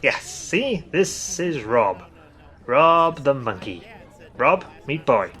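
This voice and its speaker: A man's voice: mid-range voice, sing-song, slow pace, sharp tone, exaggerated voice. A man playing a dry, sarcastic teaser who enjoys trolling his friends in a comedy web drama. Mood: sardonic. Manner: dry, sarcastic, mock-formal. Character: sardonic trolling man who carries a stuffed monkey.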